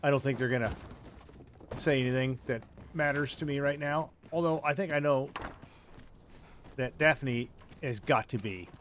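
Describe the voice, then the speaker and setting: A man's voice: medium pitch, moderate pace, long pauses, fairly bright tone, a little hiss or hum. A man hosting a let's play, chatting casually and thinking aloud as he plays, with wry asides. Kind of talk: casual gameplay commentary.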